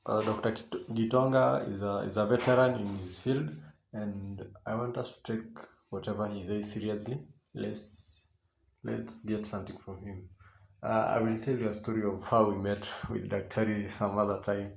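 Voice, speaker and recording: A fatherly, authoritative male deputy principal played by an actor gives a radio-drama assembly address. His voice is fairly low and gravelly, and he halts and repeats himself, with faint noise behind him. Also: fairly even intonation, echoey room. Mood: advising, measured. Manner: halting, hesitant, repeating phrases.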